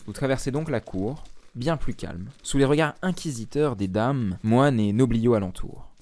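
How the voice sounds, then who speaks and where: A man narrating an original fantasy audio story in the second person, steady and descriptive. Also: mid-range voice, normal speed.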